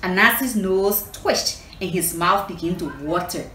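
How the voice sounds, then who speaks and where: Slow, animated woman storyteller in echoey room. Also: fairly low pitch. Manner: expressive, explanatory, addresses listeners with questions. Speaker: children's storyteller. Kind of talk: storybook read aloud with asides to children. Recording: echoey room, distant mic, faint noise.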